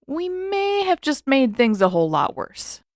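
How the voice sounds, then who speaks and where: A woman's voice: high-pitched, big pitch swings, unhurried, faint music behind, voice pushed up, full character voice. A woman voicing a brash, worried teenage boy who talks in an emphatic, pointed way. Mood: urgent, worried.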